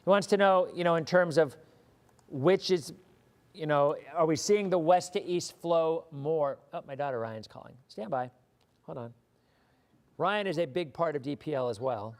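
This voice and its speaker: A hoarse man hosting a live weather show, chatting casually and answering viewer questions, often repeating words as he thinks. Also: fairly high pitch, normal speed.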